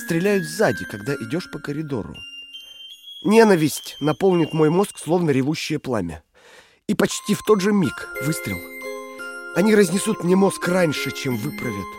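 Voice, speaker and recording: An actor playing a broken but inwardly defiant political prisoner in an audio drama, halting and brooding in his delivery. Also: a little high, very animated, darkish tone, soft background music.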